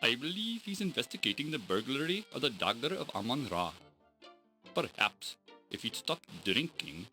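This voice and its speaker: A man's voice: mid-range voice, big pitch swings, moderate pace, sharp tone, faint music behind, rough recording. An actor playing a stern, proud Egyptian male scholar who speaks in formal, solemn and menacing pronouncements, in a 1990s adventure game.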